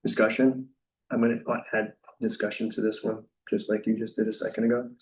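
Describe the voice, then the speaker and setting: A man chairing a town board meeting, calling votes in a brisk, procedural way. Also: lowish voice, level tone, a little hiss or hum. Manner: brisk procedural, routine phrasing. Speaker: first selectman chairing the meeting. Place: meeting room. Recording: voice from far off the mic.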